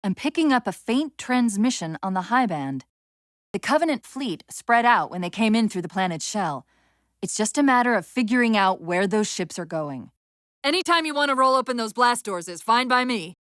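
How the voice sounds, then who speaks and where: An actress playing a female military AI companion in a video game, urgent and witty but fraying under strain, with crisp tactical delivery and dry sarcasm. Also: a little high, lively intonation, normal speed, fairly bright tone, dry recording. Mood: wry, sardonic. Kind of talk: dramatic game voice acting.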